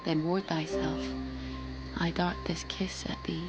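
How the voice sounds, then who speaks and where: A woman's voice: lowish voice, little pitch movement, loud music behind the voice, close to an everyday voice. An actress playing a passionate, anxious young noblewoman in love, speaking poetic verse in a classical radio drama. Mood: amorous. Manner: poetic, deliberate verse delivery.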